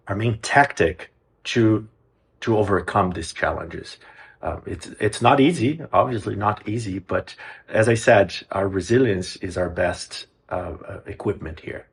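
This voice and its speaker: A man's voice: on the low side, measured pace. A man from Brazil, a music program's executive director, answering interview questions earnestly, often restarting his phrases. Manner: earnest, with frequent restarts and hesitations.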